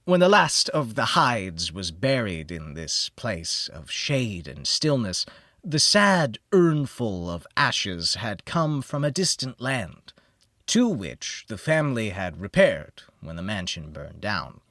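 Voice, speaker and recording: Slow, low-voiced man reading gothic horror. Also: very animated, pushed and bright, dry recording, studio quality. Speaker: audiobook narrator. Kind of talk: dramatic gothic horror storytelling, scripted narration.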